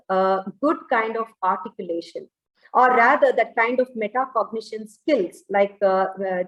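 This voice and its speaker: A woman with an Indian accent speaking off the cuff as an education panelist, in a halting way with frequent self-corrections. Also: fairly high pitch. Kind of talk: extempore panel talk on education. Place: indoor room, online webinar. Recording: roomy, echoing online call audio.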